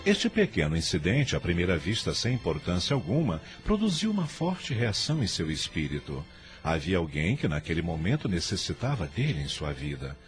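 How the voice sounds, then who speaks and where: A man's voice: low-pitched, wildly swooping pitch, moderate pace, faint music behind. A man with a Brazilian accent narrating a radio drama from a script in a solemn storytelling delivery.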